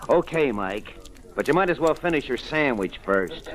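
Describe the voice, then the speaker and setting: An actor with an American accent playing a gruff, wisecracking police sergeant in the style of an old radio detective drama. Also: male voice, medium pitch, animated, moderate pace, natural voice. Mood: sardonic. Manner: wry, slangy, wisecracking. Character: gruff, sardonic police sergeant, wry and needling.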